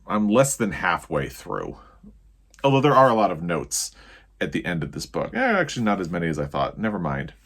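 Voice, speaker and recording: An American man talking casually as a book vlogger about his reading, rambling and often correcting himself as he goes. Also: deep voice.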